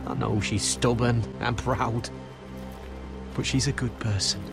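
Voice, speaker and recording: A wounded, feverish young man who pleads weakly and earnestly with a loyal friend. His voice sits at a middling pitch, and a game score plays clearly under him. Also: fairly even intonation, unhurried, over loud music. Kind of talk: video game cutscene acting. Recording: game score playing behind.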